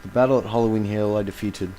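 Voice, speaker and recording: A man playing an embattled villain recording a grave confessional audio log, speaking haltingly and solemnly with dramatic pauses. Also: on the low side, steady tone, dark tone, slight background noise, natural voice, rough recording. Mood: grave, reflective.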